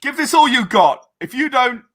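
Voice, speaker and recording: A man's voice: extremely high pitch, lively intonation, normal speed, voice pushed up, heavily acted. A man playing a commanding drill sergeant from an audio drama, berating slack recruits. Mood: barking, commanding. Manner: yelled, commanding, slightly polite.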